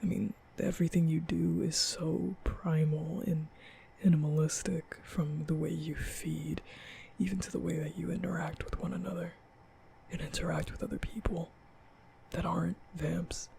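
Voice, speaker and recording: A man playing a nervous, apologetic young vampire in an intimate ASMR roleplay, speaking breathily and often half-whispering, halting and stammering. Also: on the high side, little pitch movement, gravelly, warm tone, a little hiss or hum. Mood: weary, frustrated. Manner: halting, stammering, rambling, sighing. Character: nervous, apologetic young male vampire, guilt-ridden and soft.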